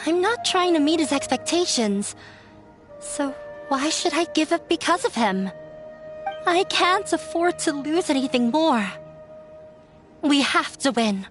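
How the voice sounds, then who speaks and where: A woman's voice: high voice, expressive, measured pace, pauses often. An actress playing a young woman in a video game who ran away from home, speaking earnestly and with resolve. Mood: determined. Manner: earnest, growing determined. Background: game music.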